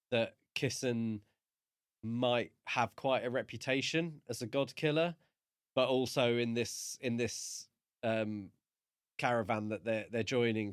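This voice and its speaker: Mid-pitched man, casual podcast co-host, dry sound. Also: no room sound. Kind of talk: casual book discussion chat.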